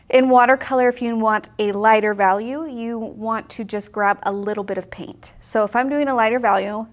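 Brief: woman in her 30s, cheerful, talkative painting teacher, at a table in an indoor studio. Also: mid-range voice. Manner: upbeat, chatty, explains step by step. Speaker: watercolor instructor. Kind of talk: casual on-camera painting tutorial.

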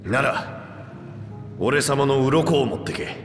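An actor playing a proud, anguished reptilian warrior with rough, boastful speech in a video game. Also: male voice, medium pitch, lively intonation, slow. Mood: subdued, resolute. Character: proud two-headed reptilian warrior man, anguished and remorseful. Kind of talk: dramatic video game voice acting. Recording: echoey long reverb under music.

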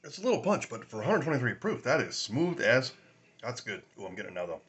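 Chatty raspy man, bright voice. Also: medium pitch, very animated, fast, highs cut off. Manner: rambling, chatty, laughs often, many fillers. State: raspy from a sinus infection. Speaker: whiskey review host. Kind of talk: casual tasting vlog. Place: small room at home, indoors.

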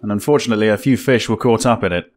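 A man's voice: lowish voice, very fast. A man in his thirties giving casual let's play commentary with wry, reactive asides, as host of a gaming video. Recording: loud game music behind. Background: game music.

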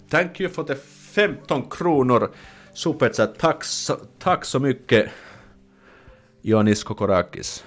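A young Finnish man with a Finnish accent hosting a casual live stream at home, reading viewer comments and chatting, often restarting his words and slipping into Swedish phrases. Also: medium pitch. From Finland. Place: small indoor room at home. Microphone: headset with desk mic in front.

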